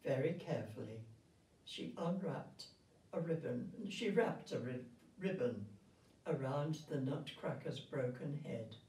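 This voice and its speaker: An elderly woman reads a children's story in a gentle, grandmotherly manner. Her pace is slow and deliberate, and her pitch rises and falls in a lively way. She is recorded at some distance in a roomy indoor space. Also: slight background noise. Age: 70s or older. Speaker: retirement village resident reading a story. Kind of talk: storybook read aloud to children. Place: indoor room with festive backdrop. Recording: roomy sound, distant microphone.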